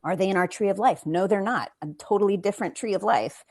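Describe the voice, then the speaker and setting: A woman speaking as a playwright on a panel over a video call, expressive and emphatic, with asides and rhetorical questions. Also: mid-range voice, quick pace. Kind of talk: animated conversational panel talk.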